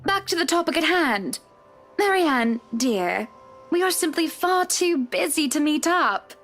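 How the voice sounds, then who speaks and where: A woman's voice: sits high, big pitch swings, a little slow, fairly bright tone, exaggerated voice. A woman playing a wealthy, breezy, imperious socialite wife in a visual novel game, with a polished, airy delivery. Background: background music.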